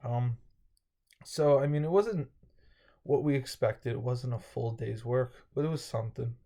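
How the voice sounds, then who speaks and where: A man's voice: mid-range voice, unhurried, dark tone. A young man with a slightly dry throat, casually recapping his day in a rambling vlog with many fillers and restarts. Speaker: vlogger.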